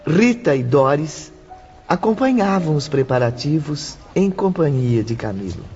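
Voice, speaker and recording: A man's voice: a little high, expressive, a little slow, a little mellow. Reverberant male narrator over music. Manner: formal, literary. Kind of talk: scripted audiobook narration. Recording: long reverb, dull sound, music behind.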